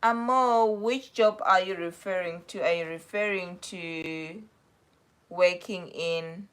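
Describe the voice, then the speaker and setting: A young woman with a South African accent talks through viewer questions on a casual livestream. Her voice sits a little low, and she rambles and corrects herself as she goes. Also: takes it slowly, a bit bright, smooth. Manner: chatty, rambling, self-correcting. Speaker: livestream host.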